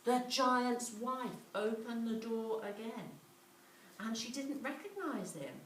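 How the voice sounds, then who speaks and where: A woman telling a children's story in an animated way, with repetition, dramatic pauses and questions to her listeners. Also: fairly high pitch. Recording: roomy, distant mic, clearly noisy.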